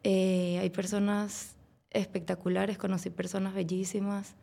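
A woman's voice: medium pitch, flat delivery, measured pace, creaky, warm tone. A woman, a guest, telling her own faith story in a hesitant, casual way with many fillers. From Venezuela. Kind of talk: casual personal testimony.

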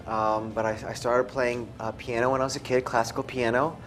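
A man's voice: mid-range voice, level tone, a little fast, a bit bright, slight background noise. A man from the United States speaking casually as an interview guest, easygoing and laid-back. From United States, after early childhood abroad. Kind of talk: casual chat.

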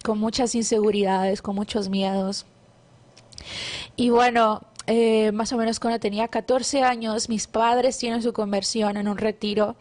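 Guatemalan woman sharing faith testimony. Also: a little high. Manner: warm devout storytelling with many fillers and restarts. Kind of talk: casual testimony interview.

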